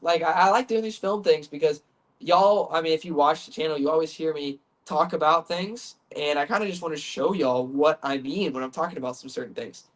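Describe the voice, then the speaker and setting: A man hosting a sports podcast, congested from the flu, talking through football film in an earnest, chatty way that stumbles and repeats words. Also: a little high. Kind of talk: casual football film breakdown.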